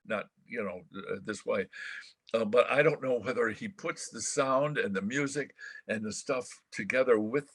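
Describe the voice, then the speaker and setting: Older American male radio veteran, rambling storyteller; husky, forceful delivery, dry close sound. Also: mid-range voice, dry recording. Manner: rambling, halting, animated storyteller. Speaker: veteran radio broadcaster, guest. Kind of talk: casual reminiscing chat. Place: remote video call.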